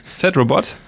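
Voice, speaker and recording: A young man co-hosting a radio show in casual studio banter, hesitant and stammering, with quick giggly asides. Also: pushed and bright, no echo. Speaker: radio co-host.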